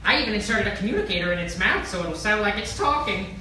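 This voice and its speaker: A woman's voice: mid-range voice, animated, fast, close to an everyday voice. A woman playing a cheerful, giddy and eccentric spaceship crew member in a comic sci-fi audio drama. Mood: proud, perky. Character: perky, eccentric female meat-crafting crew member. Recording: very echoey, distant, noisy.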